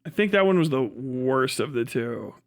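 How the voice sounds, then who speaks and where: A man casually chatting and reacting on a livestream, with halting, slangy, profane speech. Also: medium pitch, animated. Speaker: livestream host.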